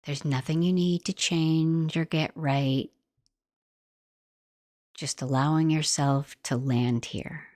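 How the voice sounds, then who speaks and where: A woman guiding a meditation in a gentle, soothing, unhurried manner, like soft instructional narration. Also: low-pitched, steady tone, dry recording, studio quality. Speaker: meditation guide.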